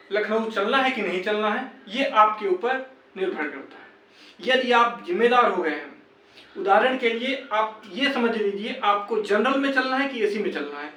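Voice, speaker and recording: Young male campaigner, high voice, urging peers insistently with a reproachful edge; recorded echoey and off-mic. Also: bright, forceful tone. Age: young adult. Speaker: exam candidate and campaign organiser. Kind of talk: motivational appeal to fellow candidates. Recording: echoey room, distant microphone.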